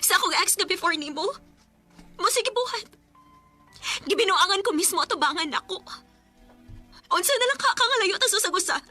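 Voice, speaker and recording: A woman's voice: very high voice, expressive, moderate pace, long pauses, sharp tone, soft background music, exaggerated voice. A woman acting a guilt-ridden young girlfriend in an emotional radio drama, pleading and anguished. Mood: defensive, anguished.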